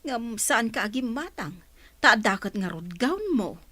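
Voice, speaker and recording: Animated older Ilocano mother. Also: on the high side, measured pace, dry recording. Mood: scolding, curious. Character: Ivory's mother, blunt, inquisitive older woman. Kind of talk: Ilocano radio drama acting.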